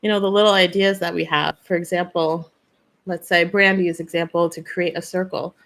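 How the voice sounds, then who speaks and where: A woman hosting a live stream as a spiritual channeler. She talks in an earnest way, asks questions, gives gentle advice and answers viewers by name. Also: on the low side, steady tone, normal speed.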